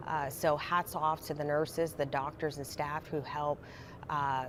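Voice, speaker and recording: Low-voiced woman news anchor. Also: slight background noise. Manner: conversational, many fillers and restarts. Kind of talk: live breaking news coverage.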